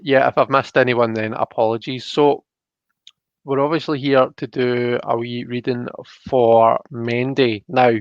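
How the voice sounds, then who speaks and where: Scottish man, gravelly fry, casual host, stop-start delivery, dry close recording. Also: medium pitch, flat delivery, unhurried, no echo, highs cut off. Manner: informal, stop-start, thinking aloud. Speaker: live stream host, tarot reader. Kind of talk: casual chat, talking viewers through a reading.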